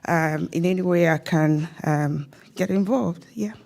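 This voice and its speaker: A woman's voice: lowish voice, unhurried, gravelly, very noisy. A woman introducing herself at a seminar, hesitant and full of fillers. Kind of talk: speaking in a seminar introduction round.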